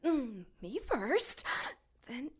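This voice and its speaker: A woman playing a seductive, menacing dark angel in an audio drama, with theatrical, purring, teasing delivery. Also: high-pitched, big pitch swings, drawn-out words, heavily acted. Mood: seductive, taunting.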